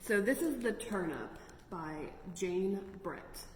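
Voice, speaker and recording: Echoey, slow-paced woman reading storytime aloud. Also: mid-range voice. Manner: animated, exclaiming, explains pictures to children. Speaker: library storytime host reading picture books aloud. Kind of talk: expressive read-aloud for young children with chatty asides. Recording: echoey, reverberant room with noticeable noise.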